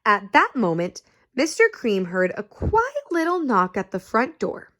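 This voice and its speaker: A woman reading a children's picture book aloud, pausing to ask her young listeners questions. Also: a little high. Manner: slow and expressive, asks listeners questions. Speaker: storyteller.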